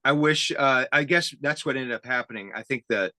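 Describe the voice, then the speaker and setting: A man hosting a casual conversational interview, speaking haltingly with a self-deprecating, enthusiastic manner. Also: medium pitch, sharp tone, no room sound, clean studio sound. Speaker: interview host. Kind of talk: casual conversational interview about tape gear.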